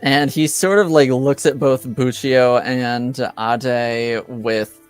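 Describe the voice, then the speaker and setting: A man runs a tabletop roleplaying game as game master. His voice is clear and smooth, and he narrates slowly, feeling his way haltingly through each description. Music plays behind him. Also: medium pitch, steady tone. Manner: halting, hesitant, searching for words.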